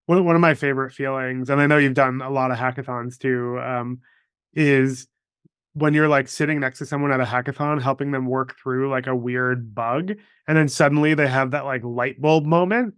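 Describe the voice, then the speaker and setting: Guy hosting a podcast, relaxed conversational chat, clean smooth voice, dry sound with no room echo. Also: medium pitch, moderate pace. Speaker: podcast host. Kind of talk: casual interview chat.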